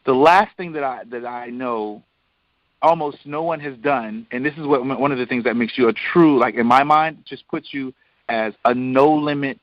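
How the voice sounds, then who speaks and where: A man's voice: mid-range voice, normal speed, dry recording. A man interviewing conversationally over the phone, emphatic and repeating phrases as he builds his point. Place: phone call. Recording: dull lo-fi phone line.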